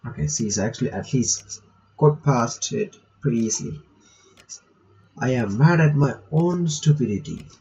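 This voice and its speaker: A man's voice: medium pitch, a little slow, gravelly, mellow, muffled recording. A man reading visual novel text aloud with casual commentary, breaking off to remark and reread lines. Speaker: gaming reaction video maker.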